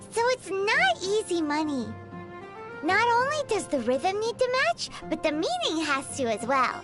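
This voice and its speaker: A woman voicing a small, excitable, naive childlike fairy companion in a video game, with a squeaky voice and cute exaggerated delivery. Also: exaggerated voice. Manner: speaks of self in third person, exaggerated cute delivery. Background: music.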